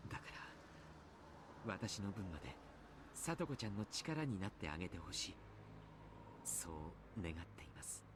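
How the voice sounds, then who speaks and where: An actor playing a gentle, courteous adult mentor with formal, sincere phrasing in a Japanese visual novel. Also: male voice, deep voice, sing-song, quick pace, long pauses, noisy background. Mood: earnest, encouraging.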